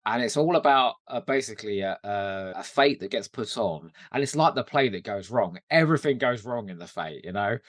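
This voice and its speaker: A British man co-hosting a podcast, chatting casually and enthusiastically about television, quick to laugh. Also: fairly low pitch, lively intonation, no room sound. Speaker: podcast co-host. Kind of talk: casual TV rewatch chat.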